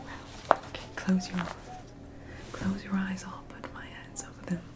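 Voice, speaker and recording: A woman playing a tender, teasing early twentieth-century sweetheart in an ASMR roleplay, speaking in a breathy whisper with a gentle, coaxing, playfully bossy manner. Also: mid-range voice, monotone, normal speed, natural voice. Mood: coy, tender.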